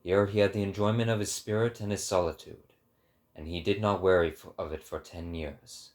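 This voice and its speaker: A man's voice: low voice, steady tone, fairly quick. A man narrating a book in a dramatic, scripted style.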